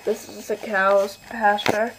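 A young woman giving a casual home video tour, halting and full of fillers, filmed on a handheld camera in her bedroom. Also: mid-range voice, flat delivery, noisy background. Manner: chatty, filler-heavy, halting. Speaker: channel owner giving a toy stable tour. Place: bedroom with toy horse stables.

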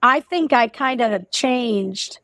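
A woman's voice: on the high side, slow pace, fairly bright tone, dry recording, studio quality. A woman in her sixties hosting a casual interview over a video call from home, musing reflectively with many "you know"s. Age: 60s. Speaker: host. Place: home room. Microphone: video call webcam.

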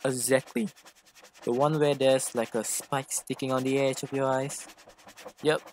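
A man voicing a playful, doting, easily jealous femboy boyfriend in an ASMR roleplay, with a teasing, expressive delivery. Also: mid-range voice, slight background noise, close to an everyday voice. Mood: focused, encouraging. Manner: teasing, gushing, expressive, drawn-out emphasis. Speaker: ASMR voice actor.

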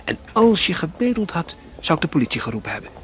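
Man doing a gruff old coffee-stall keeper. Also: on the high side, very animated, fast talker, slight background noise, pitched above the performer's own voice. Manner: gruff, urging, sometimes hushed.

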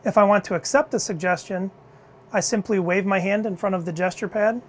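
A middle-aged man leading product innovation, presenting a car cockpit demo in a measured, clear, scripted way in an indoor demo room. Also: high voice, steady tone, slight background noise. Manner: measured, clear explanatory delivery.

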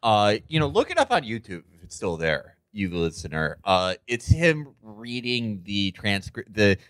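A man's voice: lowish voice, very animated, a little slow, fairly bright tone, no echo. An American man hosting a podcast, talking in casual comedic banter with a crude, profane, rambling manner. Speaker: podcast host.

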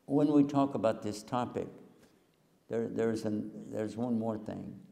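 Older preacher in an echoing hall. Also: male voice, fairly low pitch, a little slow, creaky, warm tone. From Texas. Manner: folksy, joking, chatty with the audience. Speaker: Islamic preacher and TV host. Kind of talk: humorous public lecture. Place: large hall with live audience. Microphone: handheld mic, far camera. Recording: long hall reverb.

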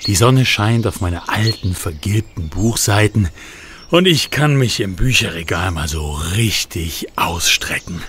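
A man voicing an old talking storybook that narrates a children's radio play. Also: on the low side. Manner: slow, expressive storytelling. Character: old talking fairy-tale book, narrating and groaning.

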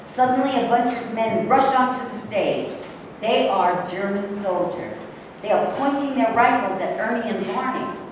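A woman's voice: a little high, normal speed. A woman reading stage directions as scripted narration. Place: bare rehearsal room, camera at a distance. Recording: echoey hall from far off, clear noise.

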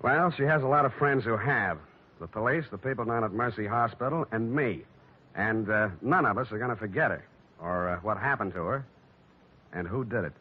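An actor playing a wry, unflappable hard-boiled private detective, deadpan and wisecracking, in 1940s radio style. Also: male voice, fairly low pitch, fairly quick, husky. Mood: cool defiance. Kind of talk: 1940s radio acting with first-person narration. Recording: dull vintage radio recording.

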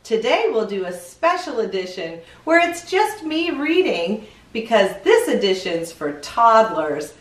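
Animated, slow-paced woman reading to toddlers. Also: a little high. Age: middle-aged. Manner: deliberate, animated, sing-song delivery for small children. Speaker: children's show host reading a picture book aloud. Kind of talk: expressive read-aloud for toddlers.

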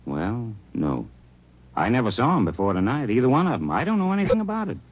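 Nervous, husky hotel manager. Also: male voice, mid-range voice, sing-song, fast talker. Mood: uneasy. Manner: hesitant, rambling, defensive. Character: nervous, defensive small-hotel manager, folksy man. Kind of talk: 1940s-50s radio acting. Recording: dull old-time radio recording.

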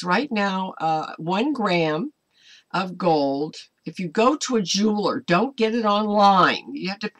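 An American woman in her seventies preaching informally to camera at home, earnest and exhortatory in a deliberate way. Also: on the low side. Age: 70s. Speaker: online ministry preacher. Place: small cluttered home room, indoors.

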